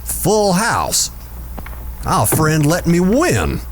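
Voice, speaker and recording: An actor playing a smooth, scheming town boss in a western audio drama, measured, self-assured and insinuating. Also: male voice, a little high, wildly swooping pitch, slight background noise, full character voice. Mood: suspicious, calculating.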